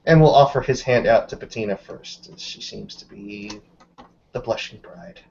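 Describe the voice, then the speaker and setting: A mid-pitched voice narrates a tabletop roleplaying scene. The delivery is improvised storytelling, describing characters' actions. The audio is slightly dull. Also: moderate pace, creaky, a little mellow, a little hiss or hum.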